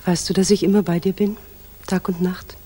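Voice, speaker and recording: An actress playing a caring, devoted pilot's wife in a 1960s German radio drama. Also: female voice, lowish voice, flat delivery, moderate pace, dark tone, slightly rough. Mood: tender, concerned. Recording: reverberant hall, faint background noise.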